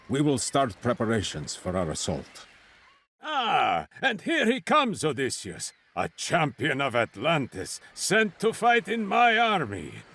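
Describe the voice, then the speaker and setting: A man's voice: mid-range voice, a bit bright, full character voice. Proud commanding king, grand sweeping delivery, faint noise. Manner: pompous, declaiming. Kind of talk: video game cutscene.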